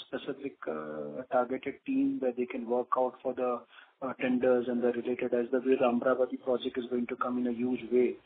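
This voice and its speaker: A man, an investor on an earnings call, wordy and full of praise, with many restarts and fillers. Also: mid-range voice. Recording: phone-line call audio with faint noise.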